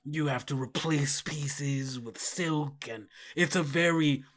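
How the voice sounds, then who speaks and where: A man plays a monstrous spider matriarch in a tabletop horror game. She speaks very slowly and breathily, drawing out each phrase with cold, aggrieved menace. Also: a little high, a bit bright. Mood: weary reproach. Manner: slow, drawn-out, breathy phrasing. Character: eerie monstrous spider caretaker Rose, female, aggrieved mother of creatures.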